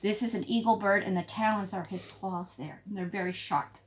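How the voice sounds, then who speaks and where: A woman teacher reading a picture book aloud to young children, emphatic, pausing to explain and prompt. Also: mid-range voice, a little hiss or hum. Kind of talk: expressive storybook read-aloud for young children.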